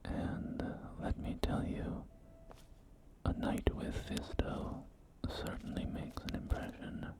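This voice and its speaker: A man playing a polite, salesy post-apocalyptic real estate agent in a whispered, breathy ASMR roleplay, with courteous, hesitant pauses. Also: natural voice. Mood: smooth, suggestive. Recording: soft game music behind. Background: music and game audio.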